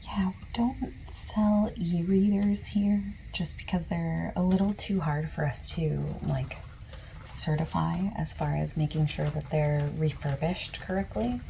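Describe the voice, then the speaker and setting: A woman speaking softly and sometimes in a whispery breath, gently reassuring, in an ASMR roleplay as a friendly bookstore clerk. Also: fairly low pitch, gravelly, slight background noise, low-quality recording. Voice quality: soft, often dropping into whispery breathiness. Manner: gentle, unhurried, reassuring customer-service talk. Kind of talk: soft-spoken ASMR roleplay.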